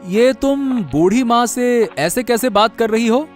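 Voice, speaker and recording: A man's voice: extremely high pitch, lively intonation, measured pace, faint music behind. A man voicing a loyal, protective village man in a cartoon story, speaking emphatically. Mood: indignant. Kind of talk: Hindi cartoon story voice acting.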